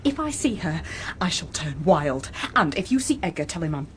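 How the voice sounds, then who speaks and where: An actress playing a proud, volatile young wife in a gothic period radio drama, imperious, taunting and impassioned. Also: female voice, low-pitched, big pitch swings, moderate pace, slight background noise. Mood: agitated, scheming.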